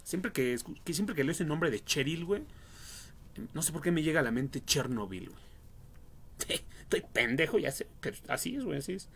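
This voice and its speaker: A man's voice: a little high, lively intonation, normal speed, vocal fry, breathy edge. A young adult man with a Mexican accent, giving casual, slangy, foul-mouthed and jokey commentary on a game livestream. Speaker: livestream gamer.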